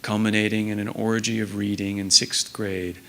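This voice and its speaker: A man's voice: fairly low pitch, flat delivery, moderate pace, vocal fry. A man in his forties reads a literary essay aloud on stage at a stand microphone. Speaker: literary event reader. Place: on stage in a theater.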